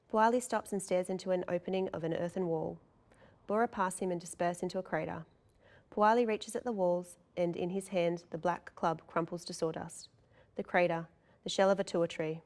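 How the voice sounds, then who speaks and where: Female narrator for a scripted drama read, lower register, delivering scene headings and action lines. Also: a little mellow. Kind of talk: scripted narration of stage directions.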